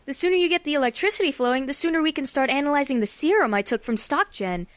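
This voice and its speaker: A woman's voice: high-pitched, lively intonation, fast, no room sound. A woman playing a keen, friendly young science assistant in an audio drama, casual and eager. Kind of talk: conversational audio drama acting.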